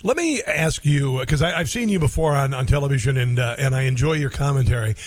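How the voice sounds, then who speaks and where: A male radio talk show host interviewing a caller, halting and full of fillers and restarts. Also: medium pitch, lively intonation, dry recording.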